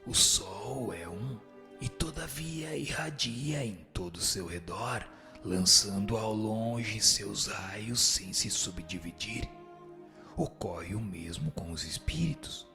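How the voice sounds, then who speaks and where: Man voicing a solemn, low, gravelly teaching spirit. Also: slow pace, over music. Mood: solemn, instructive. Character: solemn male spirit answering questions and dictating teachings. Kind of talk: dramatized reading of a spiritist text.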